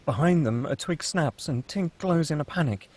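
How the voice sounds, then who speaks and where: A man's voice: on the high side, animated, normal speed, a little mellow. A man reading scene headings and stage directions as the narrator of a scripted sci-fi table read.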